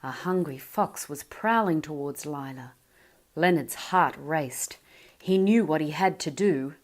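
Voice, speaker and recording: Slow, expressive woman reading a picture book. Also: low voice. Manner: expressive storytelling, whispers for suspense. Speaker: narrator. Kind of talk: picture-book read-aloud.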